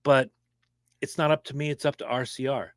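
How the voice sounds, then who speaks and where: A man's voice: mid-range voice, no room sound, studio quality. Opinionated man talking racing. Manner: opinionated, animated, quick-talking. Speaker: podcast co-host. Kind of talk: casual sports chat.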